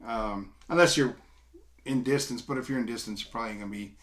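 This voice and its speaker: A middle-aged man giving a casual, unscripted product demo, explaining step by step in an informal, halting way. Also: mid-range voice, fairly bright tone. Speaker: product reviewer. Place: small indoor room, seated at a table.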